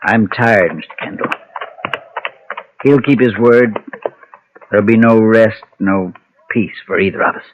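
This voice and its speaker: An actor playing a weary, fearful former preacher, grave and resigned, with formal diction, in an old-time radio drama. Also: male voice, fairly low pitch, steady tone, measured pace, long pauses, lightly acted. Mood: weary resignation. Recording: dull, noisy vintage radio recording.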